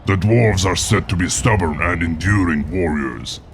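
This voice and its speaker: An actor playing a stern, threatening orc war chief giving imperious orders in a video game cutscene. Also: male voice, low voice, normal speed, vocal fry, background noise. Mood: commanding. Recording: music under the voice.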